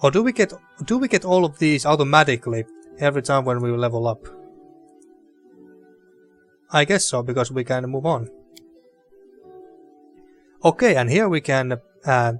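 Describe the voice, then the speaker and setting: A man with a dry throat casually commenting on a game as he plays, thinking aloud. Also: mid-range voice. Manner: relaxed, thinking aloud, mildly sweary. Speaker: gaming video host.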